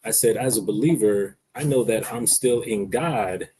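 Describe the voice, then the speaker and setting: A man's voice: lowish voice, normal speed, crowd noise. A man who stutters, talking in a casual discussion as a guest, with frequent hesitations and repeated words.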